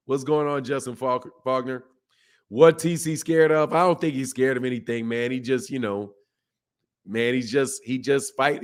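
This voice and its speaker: A hoarse-voiced man runs a boxing talk stream, chatting straight to his viewers. His pitch sits in the middle range for a man. He hammers his points with repetition and peppers his talk with "bro" and "man.". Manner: emphatic, repeats himself, calls listeners bro and man. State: hoarse, voice shot from yelling. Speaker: boxing channel host. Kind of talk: casual livestream commentary reading chat.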